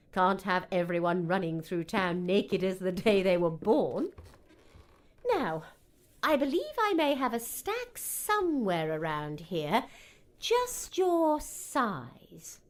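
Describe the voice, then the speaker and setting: A woman's voice: mid-range voice, very animated, slow. A woman playing a kindly, chatty, motherly seamstress in an audiobook drama. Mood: cheerful, chatty. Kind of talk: audiobook character acting.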